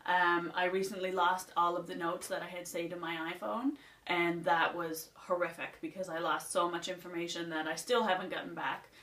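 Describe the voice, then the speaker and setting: A woman's voice: fairly low pitch, little pitch movement, moderate pace, a bit bright. A young woman from Nova Scotia with a Nova Scotian twang, rambling chattily through a list in a casual beauty vlog. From Nova Scotia, Canada. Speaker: beauty vlogger. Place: indoors at home, seated. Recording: roomy sound from a distant mic.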